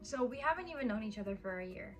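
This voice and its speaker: A woman's voice: on the high side, expressive, fast talker, faint music behind. A woman in her early twenties chatting casually and teasing her husband in a Q&A vlog, with lots of "like" fillers. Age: 20s. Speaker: vlogger. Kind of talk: casual couple Q&A chat.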